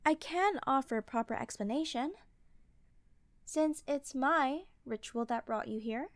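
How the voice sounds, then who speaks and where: A woman's voice: high voice, unhurried, no room sound. A woman playing an earnest, easily scandalized queen with a formal, ceremonious manner in a fantasy audio roleplay. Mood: explaining. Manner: ceremonious, proper, reverent.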